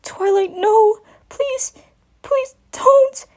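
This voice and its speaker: A woman's voice: extremely high pitch, extremely slow, voice pushed up, exaggerated voice. A woman voicing a young male baby dragon, crying and pleading in panic as he sleeps. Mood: tearful terror. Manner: pleading, desperate.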